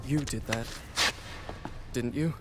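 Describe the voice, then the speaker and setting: A man's voice: medium pitch, slow, very noisy, lightly acted. A man voicing a proud, anxious young prince in earnest, dramatic video game cutscene acting. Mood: wondering. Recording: music behind the voice.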